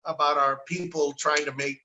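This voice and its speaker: A middle-aged man with a notably high, bright voice tells a rambling story as a podcast guest. He drops Spanish words into his English and trails off before he circles back. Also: flat delivery, normal speed, slight background noise, rough recording. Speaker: podcast guest, bar owner. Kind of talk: casual podcast conversation.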